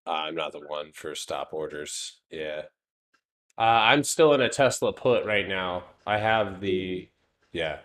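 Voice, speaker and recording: A man in his late twenties with a low voice that swings widely in pitch, chatting loosely with friends during a live trading stream. He rambles, jokes and lets his sentences fade into mumbles. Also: animated, takes it slowly, fairly bright tone. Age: 20s. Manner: rambling, joking, trails off into mumbles. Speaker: stock trading stream host. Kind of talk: casual chat while live trading.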